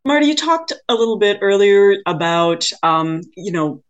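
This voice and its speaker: Lady podcast host, normal pitch, casual interview chat, kinda halting and tentative. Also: lively intonation, highs cut off.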